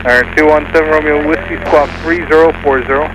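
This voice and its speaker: Man, air traffic controller, brisk formal phrasing, radio, quick. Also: a little high, fairly even intonation. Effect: aircraft radio. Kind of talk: ATC radio instructions.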